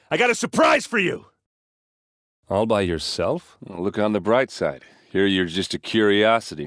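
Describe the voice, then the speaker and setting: An actor playing a brash, hard-drinking warrior captain in a video game, blunt and informal, gruff but soft-hearted. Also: male voice, low-pitched, dry recording, studio quality. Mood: taunting shout, then casual, candid. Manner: blunt, informal, halting when emotional. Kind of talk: video game character dialogue.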